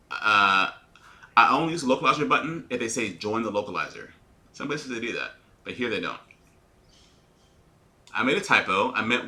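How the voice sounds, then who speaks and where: Man with a sharp, forceful radio-style voice. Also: medium pitch. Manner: talks in a put-on radio-announcer voice; explains checklists step by step and answers viewer questions. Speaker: airline pilot. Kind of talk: live-streamed flight sim commentary.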